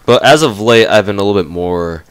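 A man's voice: lowish voice, fairly quick, clear, resonant tone, dry recording. A young man giving casual, profane gameplay commentary, ranting and mocking while congested from a cold. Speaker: gamer commentator.

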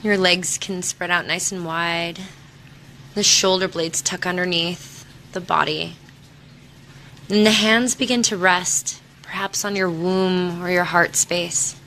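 A woman leading a guided meditation in a soothing, unhurried manner, leaving long pauses between short instructions. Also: fairly low pitch, fairly even intonation, pushed and bright, slight background noise. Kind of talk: guided meditation, body scan.

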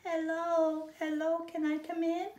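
Tiny storybook baby bird, acted by a woman, high-pitched, eager, innocent, sing-song pleading, roomy sound. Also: monotone, a little slow, a little mellow, slight background noise.

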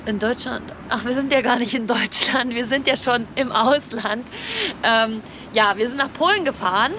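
High-voiced woman vlogging casually over background noise. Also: moderate pace, sharp tone. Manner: chatty, informal, explaining as she goes. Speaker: camping vlogger. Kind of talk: casual vlog narration. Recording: clearly noisy, outdoor and vehicle ambience.

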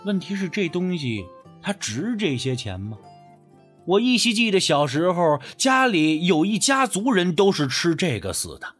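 A man's voice: high voice, very animated, normal speed, a bit bright, soft background music, full character voice. A man playing a skeptical, sardonic first-person narrator of a folk ghost story audiobook, acting out dialogue. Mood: measured, wry.

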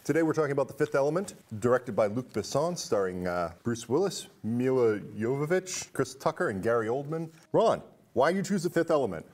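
A man's voice: medium pitch, big pitch swings, a little fast, darkish tone. A man around his forties, hosting a casual film chat in an indoor studio. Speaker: talk show host. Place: indoor studio set with curtains.

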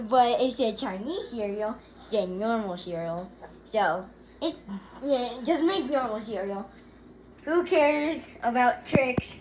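A woman voicing a bossy, violent-tempered puppet chef in an erratic home-made comedy cooking show, shrill when worked up. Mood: grumbling. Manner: erratic, drawling then bursting into shouts. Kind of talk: improvised puppet comedy sketch. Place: home kitchen and living room, indoors. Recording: noisy handheld home video.

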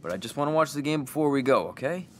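A man playing a weary, sardonic single father, gruff but caring, who swears when defensive. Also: mid-range voice, animated, fast talker, a little hiss or hum, natural voice.

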